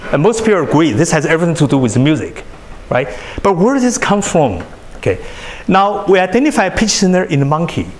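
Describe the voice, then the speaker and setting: A man whose native language is Chinese, giving a university lecture in a large hall, animated and often repeating words as he restarts sentences. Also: fairly high pitch, a little fast, slight background noise. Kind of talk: classroom lecture.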